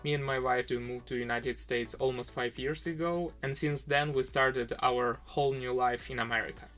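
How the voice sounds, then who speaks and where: A young man with a Ukrainian accent tells his own story in earnest, simple English, with small slips in grammar. His voice sits at an ordinary middle pitch for a man. Faint music plays beneath the voice-over. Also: fairly even intonation, moderate pace, a bit bright. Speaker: vlogger, diorama maker.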